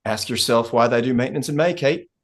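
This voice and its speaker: Guy acting as a gruff oilfield fixer, blunt n folksy, swears a lot, sarcastic, mid pitch. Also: fast talker, close to an everyday voice. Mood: scornful. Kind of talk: script table read.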